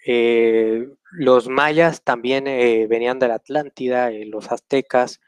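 A man's voice: medium pitch, steady tone, takes it slowly, clean tone, no echo. Hesitant young man on a video call. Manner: rambling, hesitant, many fillers and tag questions. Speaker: host answering questions. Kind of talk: casual live video Q&A. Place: small room indoors. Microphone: webcam on a video call.

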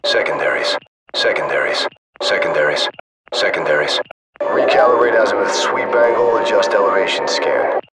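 Deep-voiced military gunship crewman over noisy radio. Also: moderate pace. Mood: businesslike. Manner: clipped, casual, detached. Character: cool, detached male military gunship crewman. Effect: military radio filter.

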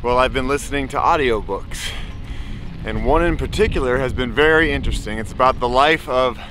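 A man's voice: medium pitch, brisk, background noise. A man in his forties vlogging casually and cheerfully while riding a bike on a mountain dirt road outdoors, recorded on an action camera held at arm's length. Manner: chatty and upbeat, talks to animals, playful sing-song bits. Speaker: bikepacking vlogger. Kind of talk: casual vlog narration to camera.

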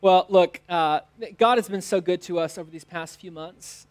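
A man's voice: sits high, unhurried, clear, resonant tone. A man in his thirties with an American accent, a pastor giving a sermon in short phrases. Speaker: teaching pastor. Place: church stage, indoors.

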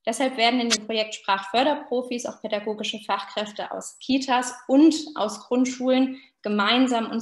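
A woman in her thirties giving an explanatory online lecture as a researcher, addressing her listeners directly. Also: medium pitch. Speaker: university researcher presenting a talk. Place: small indoor room, video call. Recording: roomy, echoing webcam sound.

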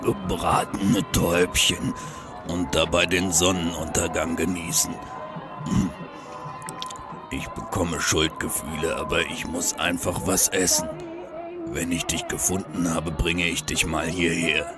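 An actor playing a gruff, suspicious male troll searching for his missing wife in a German fan audio drama. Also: low-pitched, flat delivery, a little slow, over music. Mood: wistful. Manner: brusque, distrustful of humans.

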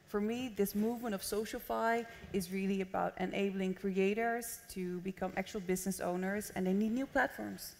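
A woman's voice: mid-range voice, gravelly, darkish tone, slight background noise. A young woman from the Netherlands speaking as a panelist at a conference, on stage in a large hall. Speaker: panelist, venture consultant. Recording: long reverb of a large hall.

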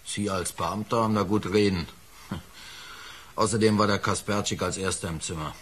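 An actor playing a defensive working-class lift fitter in a radio crime play, talking colloquially and haltingly. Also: male voice, fairly low pitch, fairly even intonation, a little hiss or hum, close to an everyday voice. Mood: guarded, evasive. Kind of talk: naturalistic German radio drama acting.

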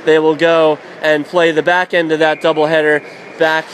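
A man doing radio play-by-play of a college hockey game, calling plays quickly and shouting at the big moments. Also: a little high, flat delivery. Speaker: play-by-play announcer. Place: college ice arena. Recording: noisy background.

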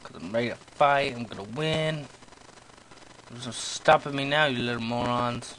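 A young man giving goofy, self-mocking commentary while playing a game, yelling at monsters and talking to himself. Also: mid-range voice, moderate pace. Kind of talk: let's play commentary. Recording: noisy home recording.

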